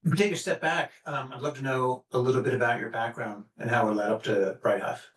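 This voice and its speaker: A man's voice: medium pitch, fairly even intonation, quick pace, a bit bright, reverberant, far from the mic. A man hosting a podcast interview, giving brief, encouraging prompts. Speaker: podcast host.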